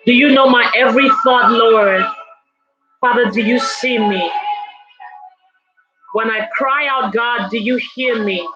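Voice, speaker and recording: A woman leading a congregation in prayer and worship, with slow, fervent, drawn-out phrases that slip into singing. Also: fairly high pitch. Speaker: worship leader. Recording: dull lo-fi recording with soft music behind.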